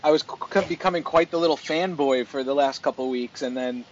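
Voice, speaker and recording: A man's voice: mid-range voice, quick pace, slight background noise. A man co-hosting a talk show in casual, chatty banter. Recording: dull lo-fi stream audio.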